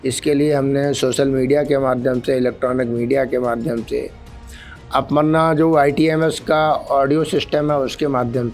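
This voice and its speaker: A man's voice: mid-range voice, a little mellow. A man, a police officer, giving formal, earnest explanations peppered with English terms in a studio interview. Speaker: senior traffic police officer, interview guest. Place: radio studio.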